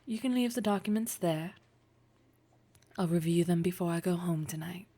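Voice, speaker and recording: A woman performs a dominant, wealthy lady boss in an intimate audio roleplay. Her voice sits low and mellow with a gravelly fry at the edges. She is poised and flirtatious, teasing with breathy, deliberate formality. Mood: composed, businesslike. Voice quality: breathy, husky.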